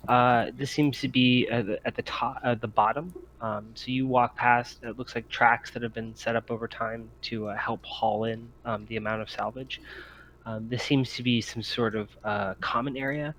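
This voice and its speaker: A man narrating a tabletop game as game master, describing scenes haltingly, piece by piece. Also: medium pitch, steady tone, a bit bright. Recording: noisy home voice-chat recording.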